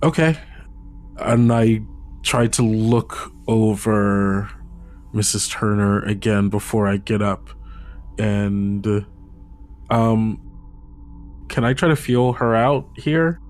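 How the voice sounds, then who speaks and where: A man's voice: on the low side, takes it slowly, pauses often, darkish tone. A man playing a tabletop game, hesitant, full of restarts and filler words. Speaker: player. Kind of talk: tabletop roleplay chat.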